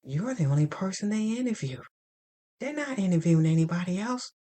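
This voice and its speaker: A woman's voice: medium pitch, animated, a little fast, warm tone, pitched above the performer's own voice, lightly acted. A woman voicing a breezy, irreverent, gossipy receptionist who jokes in candid slang for an audio drama. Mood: confiding. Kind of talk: audio fiction character dialogue.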